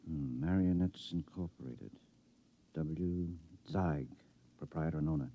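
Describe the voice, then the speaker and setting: An actor playing a curious, chummy henpecked husband with a halting, fussy manner in a 1950s radio drama. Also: male voice, extremely low pitch, heavily acted. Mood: intrigued. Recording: dull old 1950s radio recording.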